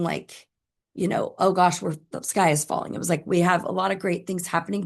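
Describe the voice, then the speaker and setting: A woman with a hoarse voice, reporting at a school board meeting in a chatty way with many fillers and restarts. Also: on the low side. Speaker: school administrator.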